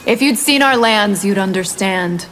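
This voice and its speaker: A woman's voice: medium pitch, measured pace, close to an everyday voice. An actress playing a haughty, menacing winged vampire woman in a fighting video game. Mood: proud. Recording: loud music behind the voice.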